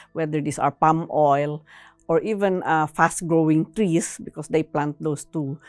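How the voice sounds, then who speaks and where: Woman, interviewee, low voice, measured and halting explanatory delivery, very dry close sound. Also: no room sound. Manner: measured, halting, ending phrases with a tag "no?".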